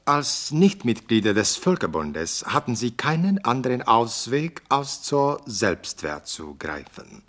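An actor playing a formal, emphatic radio newsreader reporting on a conference in a radio play. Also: male voice, mid-range voice, big pitch swings, a little slow, hardly any pauses, fairly bright tone. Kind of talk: scripted news bulletin within radio play.

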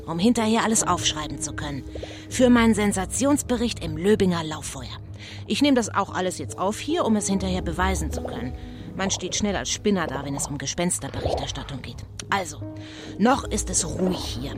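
A woman's voice: medium pitch, natural voice. Casting: actress as sensation-hungry female reporter, dramatic live report, children's spooky radio drama, music underneath. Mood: businesslike. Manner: dramatic, deliberate. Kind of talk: radio play acting as live on-the-spot report.

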